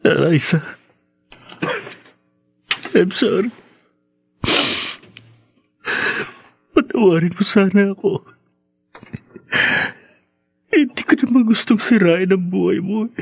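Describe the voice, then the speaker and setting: A man's voice: sits high, slow, vocal fry, pauses often, darkish tone, husky, no room sound, heavily acted. An actor playing a weak-willed, guilt-ridden young man in an evasive radio drama style. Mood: drunken remorse. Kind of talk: Filipino radio drama acting. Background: music in the background.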